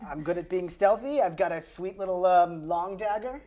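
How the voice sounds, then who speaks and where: A woman player improvising her character in a tabletop roleplaying game, chatting casually in a wry, joking, halting way. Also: on the low side, a loud crowd.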